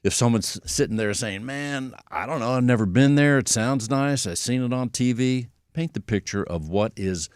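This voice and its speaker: A man's voice: mid-range voice, normal speed, few pauses, clear, resonant tone, dry recording, clean studio sound. A man hosting a radio talk show who introduces his guest and prompts him with friendly, conversational questions.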